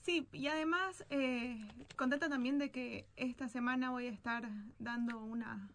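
A woman's voice: sits high, fairly even intonation, a little slow, a bit bright, slight background noise. A woman with a Bolivian accent speaking as a guest poet in a casual, conversational interview. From Santa Cruz, Bolivia.

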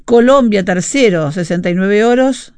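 A middle-aged woman from Uruguay with a Uruguayan Spanish accent, hosting a casual radio show, chatty and quick to laugh, wandering into asides while reading listener messages. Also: mid-range voice, expressive, darkish tone, no room sound. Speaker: radio host.

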